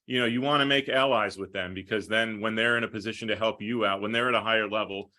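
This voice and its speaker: Male sports broadcaster as interview guest, low bright voice, conversational, winding delivery. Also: fairly even intonation, fast talker. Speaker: hockey play-by-play broadcaster being interviewed.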